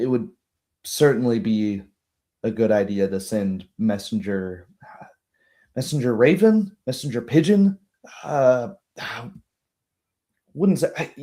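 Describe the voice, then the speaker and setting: A forgetful old gnome lady from a fantasy tabletop game, acted out by a man. The voice is soft-edged and lowish. She rambles, hesitates and apologizes for herself in a friendly way. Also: close to an everyday voice. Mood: helpful. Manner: hesitant, rambling, self-deprecating.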